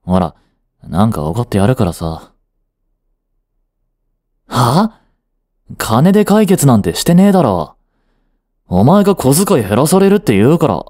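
Romance voice drama: cool, secretly fond high school boyfriend, played by a man; slow, curt, dry delivery, very dry close sound. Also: wildly swooping pitch, mellow, no room sound. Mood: flustered, annoyed. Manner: cool, curt, nonchalant teasing. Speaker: voice actor. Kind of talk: romantic situation voice drama, one-sided dialogue.

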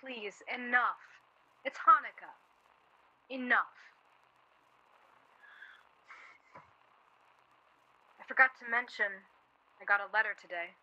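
Woman acting a bright, snippy young wife. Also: a little high, moderate pace, muffled recording. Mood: weary, irritated. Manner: snippy, sardonic. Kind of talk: radio play dialogue.

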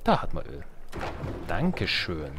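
A man's voice: low voice, wildly swooping pitch, unhurried, creaky, background noise. A man gives casual let's play commentary, thinking aloud in a colloquial way with playful asides. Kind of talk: casual game commentary while playing.

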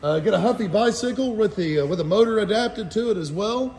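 High-pitched man in an echoey hall. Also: expressive, a little fast, gravelly, darkish tone. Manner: folksy, addresses viewers as guys. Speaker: auction house presenter. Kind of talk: casual live walkthrough commentary, listing items. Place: large indoor auction hall and warehouse. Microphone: handheld phone. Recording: echoey warehouse hall reverb.

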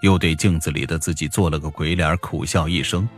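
A man reads fiction aloud as an audiobook narrator. His voice sits low, and his delivery is scripted but expressive and dramatic, with faint music underneath. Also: fairly quick.

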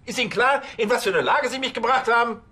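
An actor playing an irritable, flustered police chief who scolds and stammers in a TV crime comedy. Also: male voice, very high-pitched, lively intonation, fast, pushed and bright, heavily acted. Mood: exasperated. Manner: indignant, stammering. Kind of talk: German TV crime comedy acting.

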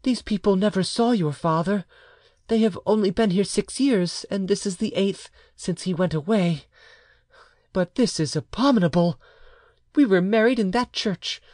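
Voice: medium pitch, moderate pace, darkish tone, no room sound, clean studio sound, voice pushed up, lightly acted. An audiobook narrator voicing a proud, passionate young peasant mother in a historical storybook tale. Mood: indignant.

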